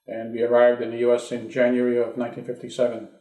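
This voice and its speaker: A man in his sixties, born in Hungary, recalling his childhood in a reflective, halting way during an oral history interview at his home. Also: medium pitch, monotone, warm tone, reverberant, dull audio. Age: 60s.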